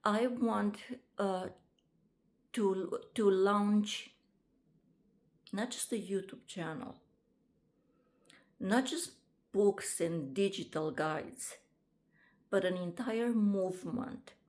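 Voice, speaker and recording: A woman, introducing herself to camera in a casual vlog, halting often with ums and restarts. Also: medium pitch, a little mellow. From Romania. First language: Romanian. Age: 50s. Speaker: vlogger and author.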